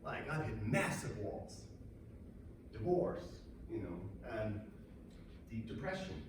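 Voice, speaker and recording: Raspy-voiced man speaking in an echoey hall. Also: on the low side, slow pace. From Denmark. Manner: energetic, asks the audience questions. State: hoarse from being sick. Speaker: speaker at a marketing event. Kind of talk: motivational talk to an audience. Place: large echoey event room. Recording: echoey room, mic far away, loud noise.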